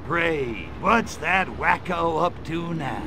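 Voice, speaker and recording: An actor voicing a cynical, menacing little alien invader in a video game, sneering and full of wisecracks. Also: male voice, fairly high pitch, slow pace, noisy background. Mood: wry. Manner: wisecracking, sneering, deadpan asides. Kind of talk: video game cutscene acting.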